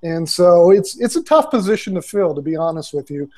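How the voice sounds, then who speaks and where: A man's voice: sits high, big pitch swings, a little fast, a little mellow. Man on a video call, fillers and restarts. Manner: conversational, frequent fillers and restarts. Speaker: ministry consultant. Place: small room indoors. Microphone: earbud headset on video call.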